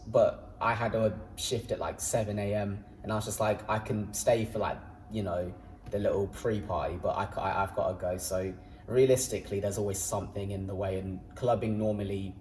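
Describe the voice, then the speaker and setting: A man's voice: on the low side, a little mellow, slight background noise. A young man speaking as a guest, thoughtful and hesitant with many fillers. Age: young adult. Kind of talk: casual interview chat.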